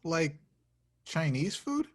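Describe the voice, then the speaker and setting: A man's voice: fairly high pitch, sing-song, slow, exaggerated voice. A man playing an awkward, sheepish young slacker in a comedy table read, talking in a casual, hesitant way.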